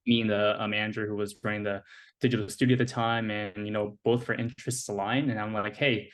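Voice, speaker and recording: A young American man in his twenties, a guest on an interview podcast, talking in a relaxed, casual way with lots of "like" and "you know". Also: lowish voice, quick pace. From United States. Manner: relaxed, filler-heavy speech with many "like" and "you know".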